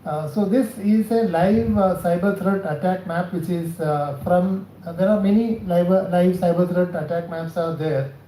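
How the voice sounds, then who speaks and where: A man with a South Indian accent delivers a technical lecture in a very mellow, dark voice. He moves haltingly, restarting phrases and putting questions to his listeners. The room is clearly echoey, with a faint noise behind him. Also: high-pitched. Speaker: cybersecurity scientist giving a training session. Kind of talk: spoken lecture to a class. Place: indoor college session room.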